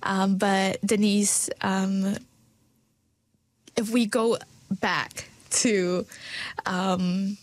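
A woman's voice: mid-range voice, level tone, drawn-out words, long pauses. Encouraging woman podcast host. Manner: bright, encouraging, reads intros smoothly. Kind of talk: warm-toned hosted interview.

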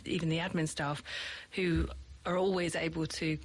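A woman's voice: low voice, level tone, moderate pace, rough recording. A middle-aged British woman from the UK, a teacher, answering interview questions in a reflective, chatty way with many fillers and light laughs.

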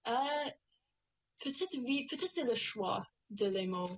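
A woman's voice: a little high, very animated, a little slow, a little hiss or hum. A young woman from New Brunswick with an Acadian accent, chatting as an interview guest in a giggly, hesitant way, searching for words. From New Brunswick, Canada. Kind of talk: casual chat.

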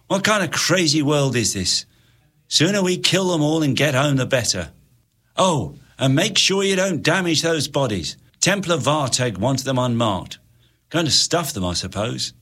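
A man voicing a gruff, bullying army sergeant who talks in threats. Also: on the high side, very animated, normal speed, a bit bright, pitched above the performer's own voice, exaggerated voice. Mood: scornful.

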